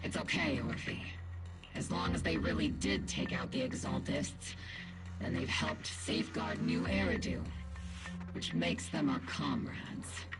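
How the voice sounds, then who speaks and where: An actress playing a stern, battle-worn military captain in a video game, curt and commanding. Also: female voice, deep voice, very animated, unhurried, over loud music, full character voice. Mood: calm. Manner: curt, commanding, scornful of incompetence.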